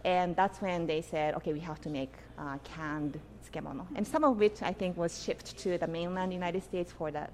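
A middle-aged woman from Japan, an author and guest, answering interview questions in an animated, explanatory way with frequent "you know" and "right?". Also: fairly low pitch, normal speed, few pauses, slight background noise.

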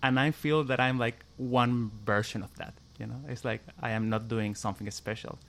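A man's voice: medium pitch, fairly even intonation, normal speed, gravelly, fairly bright tone, slight background noise. Chilean guy talking english in an interview, lots of "like", careful about each sound. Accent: Chilean Spanish. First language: Spanish. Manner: hesitant, many "like" fillers, careful pronunciation. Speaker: interviewee, musician. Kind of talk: casual interview answers and a read passage.

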